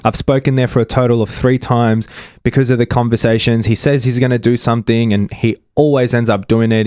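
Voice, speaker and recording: A man hosting a casual podcast interview, friendly and chatty, often pausing on fillers. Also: on the low side, darkish tone, clear, resonant tone, dry recording, studio quality. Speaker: podcast host.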